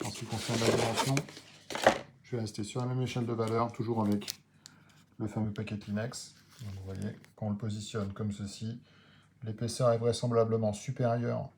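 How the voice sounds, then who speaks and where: A man's voice: fairly low pitch, level tone, fairly quick, darkish tone, a little hiss or hum. A man presenting a product unboxing, explaining each item step by step. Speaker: motorcycle gear video creator.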